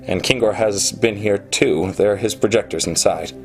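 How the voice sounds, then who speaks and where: A low-pitched man, played by an actor, speaks as a brooding, self-important genius programmer in a radio drama. He is proud and obsessive, confiding his story like a confession. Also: moderate pace, faint music behind, natural voice. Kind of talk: radio play acting with confessional narration.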